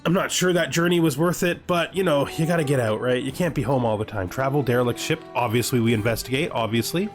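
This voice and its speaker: Male gamer, medium pitch, casual commentary, dryly humorous, reads on-screen text aloud, music in the background. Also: fast, few pauses. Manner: dry, offhand humour, reading game text aloud then reacting. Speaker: gaming video host.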